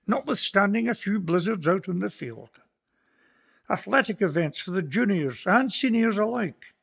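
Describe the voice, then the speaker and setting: An actor with a Scottish accent playing a kindly, earnest Presbyterian minister in a community radio play, speaking in a measured, sermon-like way. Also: male voice, sits high, creaky, exaggerated voice. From Scotland.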